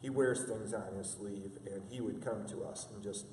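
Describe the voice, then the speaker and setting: A man in his fifties, a father, reflecting in a halting, filler-heavy way. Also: on the low side, fairly even intonation, darkish tone, slight background noise. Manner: halting, many fillers and restarts. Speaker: interview guest, father. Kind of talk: reflective conversational interview. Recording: echoey room from a distance.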